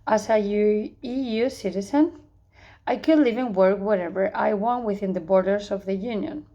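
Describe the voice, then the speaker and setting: A young woman from Spain with a Spanish accent, reading a prepared answer for a course in an earnest, measured way, with a few hesitant restarts. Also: mid-range voice, dark tone, muffled recording. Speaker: student.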